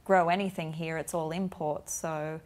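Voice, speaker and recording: Woman talk-show host, lowish pitch, easygoing and inquisitive. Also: fairly even intonation, moderate pace. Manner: gently inquisitive, meandering questions. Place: TV studio.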